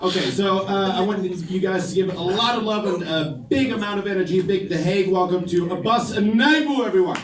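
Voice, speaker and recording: A man's voice: sits high, fairly quick, hardly any pauses, heavy background noise. A man acting as the MC at a comedy club, upbeat and hyping up the crowd as he introduces the next acts. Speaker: comedy show MC. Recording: echoey room, distant mic, loud crowd.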